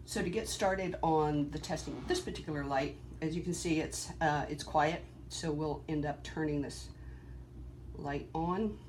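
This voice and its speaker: A person giving an informal, halting technical demonstration in an explanatory way. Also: mid-range voice, a little mellow, poor audio. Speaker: presenter demonstrating equipment. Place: small cluttered office workroom, indoors. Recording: faint background room noise.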